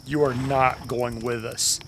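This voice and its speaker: An actor playing a vengeful, bossy cowboy with a cold, venomous, commanding manner in a Western radio drama. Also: male voice, medium pitch, flat delivery, takes it slowly, fairly bright tone, a little hiss or hum. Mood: angry, commanding. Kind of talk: old-time radio Western acting.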